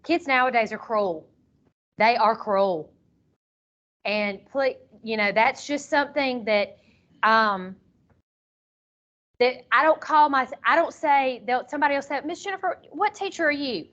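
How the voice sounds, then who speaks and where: A woman with a loud, fairly high voice instructs a group like a teacher running a workshop. She is conversational but directive, repeating short phrases to press a point. The recording is dull, a bit noisy and picked up from a distance. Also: a little slow, pauses often. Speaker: teacher leading a co-teaching training session. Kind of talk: informal classroom instruction.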